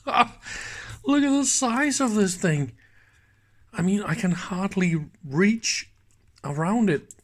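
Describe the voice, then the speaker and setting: Slow-talking man, higher-pitched voice, thinking aloud. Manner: informal, thinking aloud with exclamations. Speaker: host of electronics teardown videos. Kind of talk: casual hands-on commentary. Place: indoor workbench.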